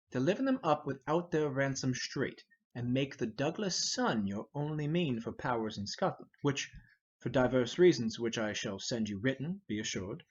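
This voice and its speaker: Man acting husky, scheming older nobleman. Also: mid-range voice, dull audio, pitched below the performer's own voice. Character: measured, scheming older noble uncle, a conspirator. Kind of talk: dramatic reading of a Shakespeare play.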